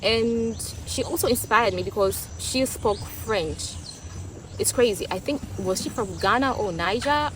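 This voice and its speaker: A woman's voice: fairly high pitch, measured pace, noisy background. A young woman from Tanzania with a Tanzanian accent, a Swahili speaker talking in English, giving her opinions in a thoughtful, casual vlog, often repeating and restarting words. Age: young adult. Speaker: vlogger.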